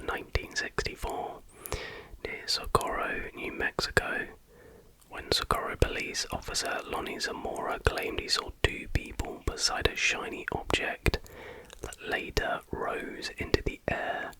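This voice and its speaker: An ASMR host speaking in a breathy, unvoiced whisper, reading aloud in an unhurried, informal way. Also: rough recording.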